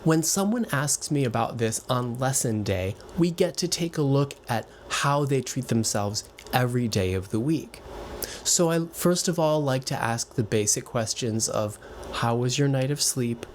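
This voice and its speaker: A man who teaches voice gives gentle, reassuring advice straight to his viewers in a relaxed, conversational way, now and then restarting a phrase. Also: medium pitch, measured pace, a little hiss or hum. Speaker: voice and piano teacher. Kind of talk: direct-to-camera advice talk.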